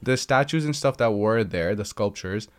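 A man with a low voice chats as a podcast guest. He explains things in long, rambling runs, restarting often and padding with fillers. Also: lively intonation, normal speed, clean tone. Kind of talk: casual podcast chat.

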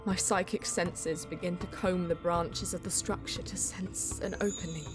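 A woman playing a solemn Eldar bonesinger who narrates her quest in a measured, poetic way in an audio drama. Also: fairly low pitch, steady tone, lightly acted. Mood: reflective. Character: female Eldar bonesinger, solemn, mystical, easily frustrated seeker. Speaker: narrator and lead character. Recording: music under the voice. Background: background music.

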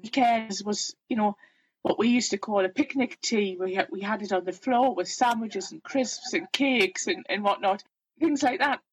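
Chatty Geordie woman, husky and close. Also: mid-range voice, normal speed, no room sound, poor audio. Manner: chatty, self-deprecating, plain-spoken. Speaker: guest. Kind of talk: candid personal storytelling.

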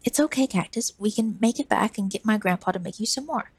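Brief: woman reading a young man, earnest and pleading, high and hurried, husky dry sound. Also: lively intonation, no room sound. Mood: frantic. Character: eager, trusting young man, hopeful assistant.

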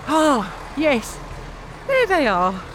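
A woman's voice: high voice, huge pitch swings, extremely slow, noisy background, full character voice. A woman acting in an audio drama as an anxious, fretful event organiser who is flustered and easily alarmed. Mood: flustered worry.

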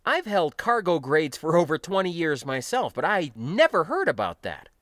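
A man's voice: high-pitched, big pitch swings, slow, a bit bright, no room sound, clean studio sound, pitched above the performer's own voice, heavily acted. A man voicing a middle-aged male cargo worker in an audiobook story, earnest, surprised and full of questions. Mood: surprised, curious.

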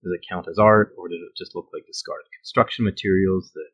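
Male podcast co-host, Canadian accent, deep voice, casual and slightly halting delivery. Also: steady tone, muffled recording. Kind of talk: casual conversation.

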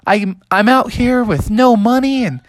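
A storyteller voicing a young male bear who thinks he is a smooth talker, in a high wheedling voice. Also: unhurried, no echo, close to an everyday voice. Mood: pleading.

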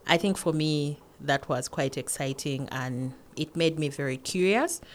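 A woman in her forties from northern Uganda, a guest answering interview questions in a calm, measured way. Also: sits low. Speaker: podcast guest, academic.

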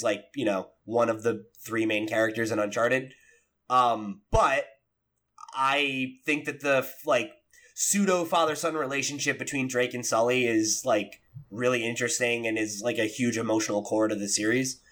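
A man's voice: mid-range voice, sharp tone. A young man hosting a casual chat about games and films, swearing freely and peppering his talk with "like" and "you know". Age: young adult. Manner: frequent "like" and "you know", profane. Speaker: podcast host.

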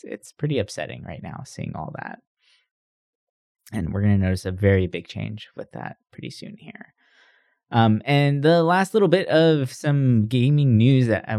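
A man with a fairly low voice delivers casual news commentary as a podcast host. He speaks conversationally, often checking in with rhetorical tag questions. The recording is dry and close, with little room sound. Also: a little mellow, dry recording.

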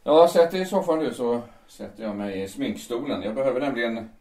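A man voicing a bitter understudy who has turned to revenge, speaking with cold deliberation at a middling pitch. The voice sits back from the mic in an echoey room, so it carries a distant, roomy sound. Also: expressive, a little mellow, lightly acted. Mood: menacing calm. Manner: cold and deliberate, swelling into fervent outbursts. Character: bitter vengeful male understudy, calm and menacing. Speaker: understudy singer in a theatre. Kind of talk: amateur audio drama acting.

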